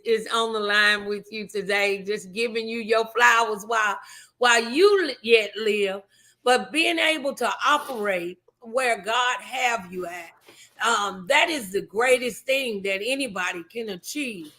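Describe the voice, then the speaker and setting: A woman hosting a faith-based interview show, speaking in an encouraging, affirming, gently preachy way. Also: fairly high pitch, unhurried. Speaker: talk show host.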